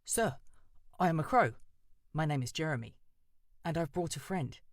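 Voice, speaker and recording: A man's voice: fairly high pitch, animated, normal speed, no echo, clean studio sound, pitched above the performer's own voice. A man voicing a polite, eager young male crow in a storybook reading. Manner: polite and respectful.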